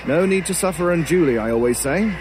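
A man acts out a wry crow from an audio drama, courtly and theatrically polite in his teasing. He speaks in an arch, genteel manner. Cawing and outdoor noise are clearly heard behind him. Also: fairly high pitch, animated, fairly quick, natural voice. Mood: teasing, unruffled. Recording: clearly noisy bed of bird sounds. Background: crows cawing, outdoor ambience.

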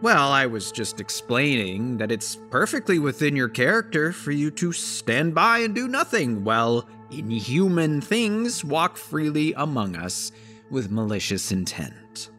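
A middle-aged father hardened by grief, in an audio drama with music underneath. His voice is bright and forceful, pitched fairly high for a man and lunging up and down. He accuses and rallies with rhetorical, drawn-out emphasis. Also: very animated. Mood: sarcastic, accusing. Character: bitter grieving father rousing a town against his enemy. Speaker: actor in a horror audio drama.

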